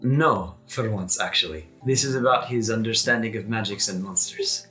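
A man's voice: on the low side, moderate pace, pushed and bright. A man chatting casually and role-playing as a player in a tabletop game. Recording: echoey room, music behind.

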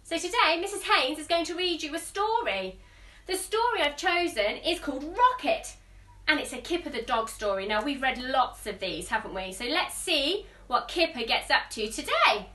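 Young female storyteller for children, bright and sing-song, speaking from a distance in an echoey room. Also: high-pitched, moderate pace. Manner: expressive, sing-song, addressing young listeners. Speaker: teacher reading a storybook to children. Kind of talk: animated children's storybook reading with asides. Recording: roomy echo, distant microphone.

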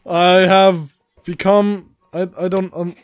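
A man hosting a gaming video, chatting and shouting excitedly with friends on a voice call. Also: sits high, slow pace, slight background noise. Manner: loud, excitable, yelling during fights. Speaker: gaming channel host.

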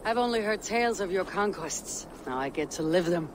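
A quick-witted Viking raider, fairly high-voiced and self-assured, with clear game ambience behind. He delivers lines with dry humor. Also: lively intonation, noisy background. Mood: wry. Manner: wry, confident. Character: witty confident Viking raider.

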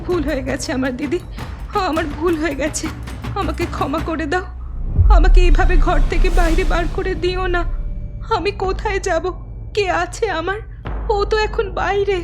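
Cartoon young bride: extremely high, meek, tearful pleading over music. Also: female voice, fairly even intonation, moderate pace, long pauses. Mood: desperate pleading. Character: meek pregnant young wife, begging.

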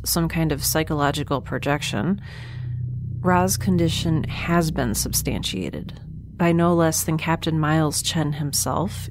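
A woman's voice: low-pitched, noisy background. An actress playing a lone young woman stranded in space who records a log, talking in a hesitant, wry way with dry asides. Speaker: narrator keeping a log. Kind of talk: audio drama monologue.

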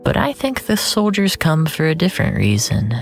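A man narrating first-person fiction in a deliberate, brooding, dramatic way, drawing out his phrases. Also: on the high side, wildly swooping pitch, creaky. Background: music.

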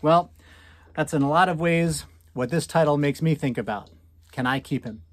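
An American man in his thirties reading a picture book aloud to children, commenting and asking questions with drawn-out emphasis on key words. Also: fairly high pitch. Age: 30s. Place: small indoor room at home.

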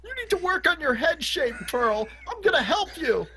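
A woman playing an excitable, naive little boy in an improvised cartoon fandub, giggly, rambling and given to shouting. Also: extremely high pitch, moderate pace, full character voice. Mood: goofy, excited.

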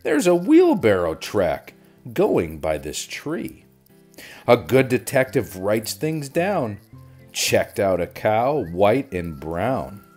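A man reading a children's storybook aloud in an animated, deliberate storytelling manner. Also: on the low side, soft background music. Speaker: children's book reader. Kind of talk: expressive storybook read-aloud.

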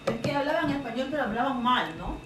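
A woman's voice: sits high, fast, background noise. A middle-aged woman from Peru with a Peruvian accent, chatting casually and teasing her daughter with short interjections. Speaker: streamer's mother. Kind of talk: casual chat.

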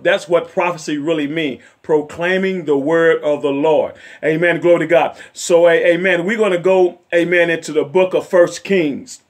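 A middle-aged male evangelist with a raspy voice, preaching fervently from a chair in his living room and sprinkling in amens and hallelujahs. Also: on the high side. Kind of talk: home sermon and Bible study. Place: home living room, seated in a leather chair.

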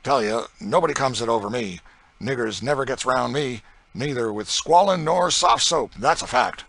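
A man voicing a brutal, boastful planter with a rural Southern accent, loud and swaggering. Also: mid-range voice, moderate pace, gravelly, no room sound, voice pushed up. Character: brutal boastful male slave-driving planter.

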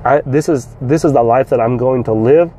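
A man's voice: medium pitch, normal speed. A man from South Vietnam in his forties, talking bluntly to camera from a parked car, swearing freely and often repeating words as he restarts. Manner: blunt, swears freely, animated hand gestures. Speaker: vlogger and trader. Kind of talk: casual talk to camera. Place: inside a parked car, outdoors. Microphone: phone or camera at arm's length with a lapel mic. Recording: faint car and street noise.

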